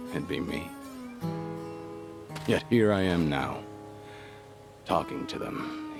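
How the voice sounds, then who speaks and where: An actor playing a grave but gentle aging yakuza legend in a game cutscene, low and gravelly, speaking with measured restraint. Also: male voice, heavily acted. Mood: somber, reflective. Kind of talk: video game cutscene acting.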